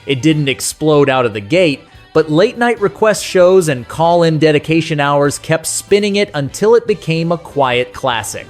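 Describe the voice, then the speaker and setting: A man with a slightly high voice hosts a nostalgic countdown. His scripted narration is conversational and sentimental, addressed straight to the listener. Music plays faintly behind him. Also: expressive, normal speed, hardly any pauses.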